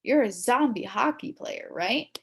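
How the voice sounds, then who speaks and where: A woman voicing an excitable, friendly school staffer from a storybook, gushing and theatrical. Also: fairly high pitch, measured pace, vocal fry, husky, pitched above the performer's own voice, full character voice. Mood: guessing.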